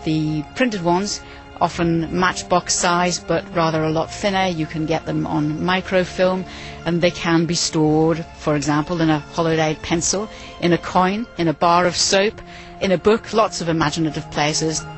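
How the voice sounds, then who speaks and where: A woman explaining a subject as an interviewed expert, in measured talk. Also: low voice, fairly even intonation, soft background music, poor audio.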